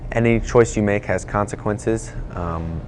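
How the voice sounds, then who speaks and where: Male high school senior, low-side voice, casual interview, slight background noise. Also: measured pace. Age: late teens.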